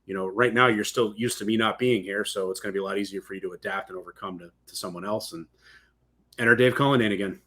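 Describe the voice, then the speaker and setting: A man with a husky, lowish voice talks quickly as a podcast co-host. He is conversational and agreeable, spinning out long casual explanations. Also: pushed and bright.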